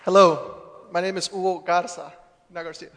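A young man with a high-pitched voice delivers a prepared speech. Long reverb from a large hall surrounds his words as he addresses the audience as a student leader. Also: level tone, normal speed, a bit bright, a faint crowd. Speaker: student group president.

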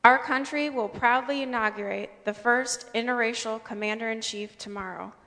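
A woman's voice: on the high side, moderate pace, pushed and bright. Earnest woman reading formally in a resonating hall. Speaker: speaker at a convocation. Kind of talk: scripted formal speech. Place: large indoor hall. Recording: reverberant hall.

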